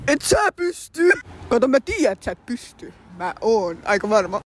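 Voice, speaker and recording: A woman's voice: fairly high pitch, sing-song. A woman voicing a hot-tempered, foul-mouthed demon in an amateur cartoon fandub, brash and exclaiming. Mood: worried. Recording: home recording with clear background noise.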